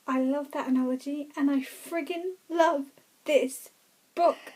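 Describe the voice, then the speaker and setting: A woman's voice: high voice, fairly even intonation, unhurried, darkish tone. A woman giving casual, gushing reactions as she reads through a book, with an enthusiastic way of talking.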